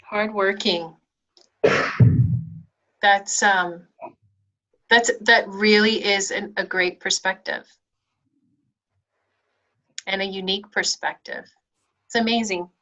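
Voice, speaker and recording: A woman's voice: medium pitch, fairly even intonation, takes it slowly, long pauses, sharp tone, low-quality recording. A woman facilitating a group discussion, encouraging and affirming each person who shares. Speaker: meeting facilitator.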